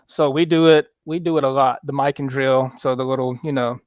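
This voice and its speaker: A man hosting a casual sports chat show, talking in a relaxed, halting way with many fillers. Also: medium pitch, no echo. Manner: relaxed, halting, lots of fillers, reads viewer comments aloud. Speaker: podcast host.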